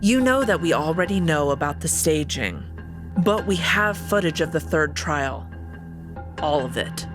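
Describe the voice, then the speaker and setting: A woman voicing a calm, stoic female wolf who is sister to the emperor, speaking in a measured way. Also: sits low, music in the background. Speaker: guest voice actor.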